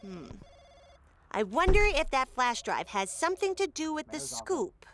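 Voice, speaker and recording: Scheming lady mayor, high bright voice, self-serving n theatrical, comedy podcast drama. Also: very animated, a little slow, heavily acted. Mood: gleefully scheming. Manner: theatrical, dismissive. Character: scheming, self-serving small-town woman mayor, gleefully suspicious. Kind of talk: comedic audio drama acting.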